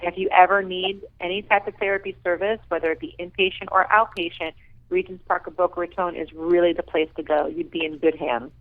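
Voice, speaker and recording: An enthusiastic woman speaking with a fairly low voice over a thin, tinny phone line. She talks earnestly, with many ums and small restarts. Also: fairly even intonation, a little fast. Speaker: rehabilitation director, guest. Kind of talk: radio interview.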